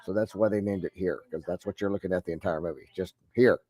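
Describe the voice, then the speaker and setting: Middle-aged male math teacher with a head cold, lowish voice, rambling online lecture. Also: steady tone, fast talker, darkish tone. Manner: rambling, many fillers and restarts. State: head cold, deep congested voice.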